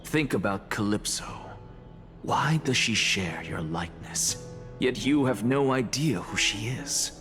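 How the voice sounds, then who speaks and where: An actor playing a proud, coolly theatrical professor in a video game, lecturing in a deliberate, pompous manner. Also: male voice, on the low side, expressive, a bit bright, soft background music. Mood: coolly triumphant. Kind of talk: dramatic scripted game dialogue.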